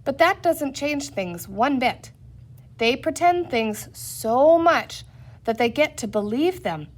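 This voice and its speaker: A woman's voice: sits high, lively intonation, slow pace, voice pushed up, exaggerated voice. A woman reading the part of a sensible young girl, explaining things earnestly and patiently in a children's story. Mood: worried, explaining.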